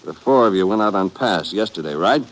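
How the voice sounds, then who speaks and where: An actor playing a brisk, knowing army major in an old radio drama, terse and businesslike. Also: male voice, on the low side, expressive. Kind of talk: 1950s radio drama acting. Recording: noisy old radio recording.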